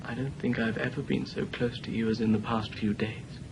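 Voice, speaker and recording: A man's voice: mid-range voice, little pitch movement, brisk, creaky, background noise, lightly acted. Man acting an anguished, impassioned young writer son. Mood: tender, wounded. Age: young adult. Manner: impassioned, histrionic, formal period diction. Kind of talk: theatrical period drama acting.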